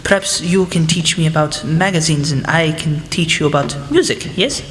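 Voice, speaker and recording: Young woman playing a low-voiced gentle professor. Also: normal speed, natural voice. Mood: curious, friendly. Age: teenage student. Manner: hesitant, polite, formal phrasing. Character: gentle, earnest German male music professor. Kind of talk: student radio play acting. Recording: echoey hall.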